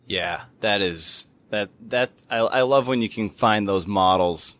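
A man's voice: lowish voice, measured pace, fairly bright tone, no room sound. Male podcast host, dry close sound. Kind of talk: casual conversational interviewing.

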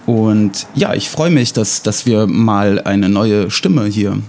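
A man with a light Russian accent, a native Russian speaker, co-hosting a casual podcast chat in a halting, self-ironic way with many fillers. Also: lowish voice, darkish tone, slight background noise. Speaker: podcast co-host.

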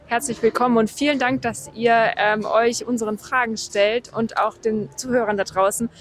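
A woman podcast host interviewing on location outdoors at a horse show, asking friendly, drawn-out questions. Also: on the high side, fairly even intonation.

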